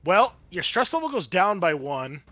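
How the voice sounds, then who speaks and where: A man acting as game master, narrating a tabletop roleplaying game in an animated, exclamatory way with casual swearing. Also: high-pitched, moderate pace, dry recording, lo-fi sound, faint music behind.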